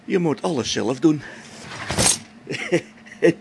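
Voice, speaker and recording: A man's voice: fairly high pitch, animated, takes it slowly, vocal fry, noisy background, heavily acted. An actor playing a grumbling old-school test pilot and father talking to himself in a spaceship radio drama. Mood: muttering, amused. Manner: muttering to himself, grumbling, chuckling.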